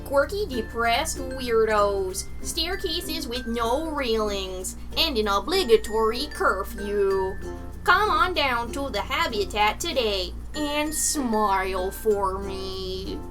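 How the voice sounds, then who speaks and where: A woman playing a pitchwoman in a parody commercial, delivering a slow, deliberate, oddly gloomy-sweet sales pitch. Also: expressive, music in the background, poor audio. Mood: mock soothing.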